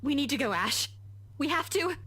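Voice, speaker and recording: An actress playing a young woman in a visual novel, urgent and expressive as she pleads. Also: high-pitched, moderate pace, heavy background noise. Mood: frightened, pleading.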